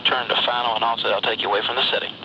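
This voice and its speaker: A man working as an air traffic controller, speaking in measured, procedural phrases over the radio. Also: medium pitch, flat delivery. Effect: radio transmission. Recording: muffled, noisy air traffic radio recording.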